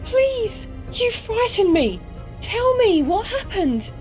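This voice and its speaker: Casting: alarmed, pleading woman for audio melodrama; extremely high voice, sweeping intonation. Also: big pitch swings, takes it slowly, a little mellow, faint music behind, exaggerated voice. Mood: frightened pleading. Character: frightened, pleading wife of a madman. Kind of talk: audio comic acting.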